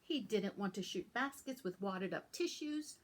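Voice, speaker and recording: A woman hosting a children's storytime as a librarian, reading aloud and talking to young listeners in simple, deliberate phrases. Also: medium pitch, lively intonation.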